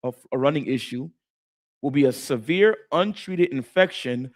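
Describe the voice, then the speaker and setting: A man co-hosting a Bible study talk, explaining in a casual, animated way and stumbling over words at times. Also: mid-range voice, normal speed. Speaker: co-host teaching scripture.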